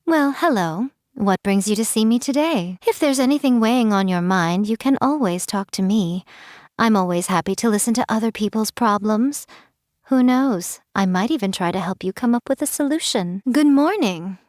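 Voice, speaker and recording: A woman's voice: medium pitch, expressive, normal speed, darkish tone, no echo, studio quality, close to an everyday voice. A woman voicing a playful, teasing fox spirit in a game, coy and amused as she talks. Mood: sly. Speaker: game character. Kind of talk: game voice lines. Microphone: studio mic, dry.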